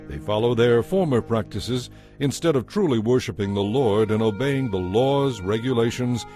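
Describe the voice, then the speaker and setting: A man's voice: medium pitch, a little mellow. Solemn male scripture narrator over music. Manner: solemn, measured storytelling. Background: music behind the voice.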